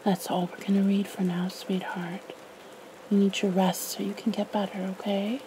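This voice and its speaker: A woman reading a bedtime story in a tender, hushed, nurturing ASMR roleplay style. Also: mid-range voice, level tone, normal speed, a little hiss or hum, poor audio. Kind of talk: ASMR storybook narration, soothing caregiver roleplay.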